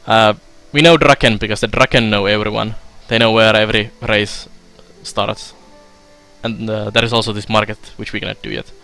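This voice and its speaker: A man's voice: lowish voice, moderate pace, gravelly, soft background music. A young man from Finland with a Finnish accent, casually commenting on a strategy game he plays while answering viewers' chat. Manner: relaxed and chatty, many fillers, laughs often. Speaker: streamer. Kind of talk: let's play commentary while reading chat.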